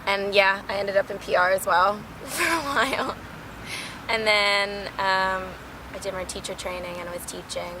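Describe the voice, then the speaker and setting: A woman from Baltimore, a drama teacher, chatting in a street interview in a thoughtful, hedging way with soft endings. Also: medium pitch, moderate pace. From Baltimore, USA. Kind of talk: casual street interview chat. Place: outdoors on a busy city street. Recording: street traffic noise behind.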